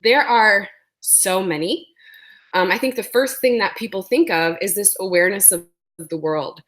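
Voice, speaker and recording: A woman's voice: mid-range voice, moderate pace, fairly bright tone. Woman presenting with a sore throat. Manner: explanatory, many ums. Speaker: webinar presenter. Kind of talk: presenting a webinar.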